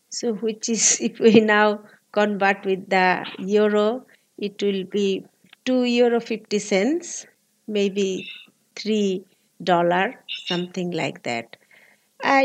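A woman in her forties from Bangladesh with a Bangladeshi accent, speaking earnest, halting English as an interview guest. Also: mid-range voice. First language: Bengali. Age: 40s. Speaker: guest, labor activist.

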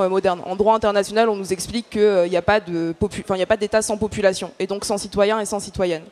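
A woman's voice: medium pitch, darkish tone. A woman activist speaking on a panel, explaining earnestly in a semi-prepared, stop-and-start way.

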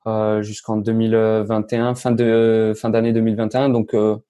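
A man's voice: on the low side, monotone, moderate pace, mellow, clear, resonant tone. A teenage man, a young tennis player answering interview questions casually, hesitant with many fillers and restarts. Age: teens.

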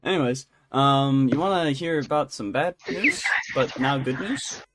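A man's voice: medium pitch, unhurried, fairly bright tone, slight background noise. A man co-hosting a podcast in a casual, bantering chat style. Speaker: podcast co-host.

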